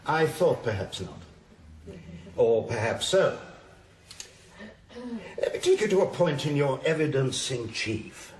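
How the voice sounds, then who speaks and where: An actor playing a sardonic, needling defence barrister who questions formally and insistently in a radio drama. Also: male voice, mid-range voice, sing-song, slow, long pauses, roomy sound. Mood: sardonic, pressing. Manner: formal, theatrical, insistent cross-examination with mock courtesy.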